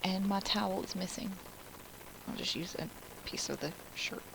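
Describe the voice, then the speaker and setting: A woman with an Alabama Southern accent talks at a mid-range pitch, chatty and rambling as she walks through a craft project at home. She is speaking into a computer mic, with a faint hiss of noise underneath. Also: normal speed, creaky. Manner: chatty, rambling asides while working. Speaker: crafting class host. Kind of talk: live-streamed crafting tutorial.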